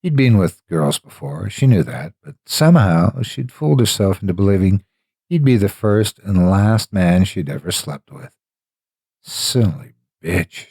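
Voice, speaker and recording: Deep, husky male horror narrator. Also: dark tone, no room sound. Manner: deliberate, ominous dramatic phrasing. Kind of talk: scripted dramatic horror narration.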